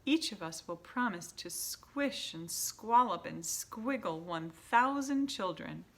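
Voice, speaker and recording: A woman's voice: medium pitch, expressive, takes it slowly, a bit bright, full character voice. A woman doing fawning old witches. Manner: fawning, gleeful.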